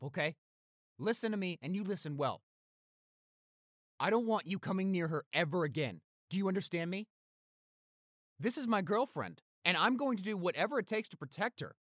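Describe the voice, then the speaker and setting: A man's voice: sits high, big pitch swings, moderate pace, long pauses, fairly bright tone, no room sound, clean studio sound, exaggerated voice. A man playing a protective, tender young boyfriend who turns fierce toward bullies, in an intimate audio roleplay. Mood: furious, protective. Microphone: close studio microphone.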